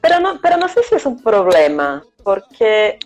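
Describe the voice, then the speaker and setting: A woman's voice: mid-range voice, huge pitch swings, normal speed, darkish tone. A middle-aged Brazilian woman, a Spanish teacher speaking Spanish with a Brazilian accent, who thinks aloud with many hesitations and tag questions. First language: Portuguese. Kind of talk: casual chat on a live stream. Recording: dull lo-fi stream audio, faint music.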